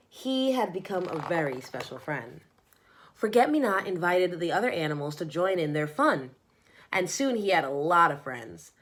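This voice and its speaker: A woman reading a children's storybook aloud as narrator, in an expressive storytelling manner. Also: lowish voice. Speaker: storybook reader.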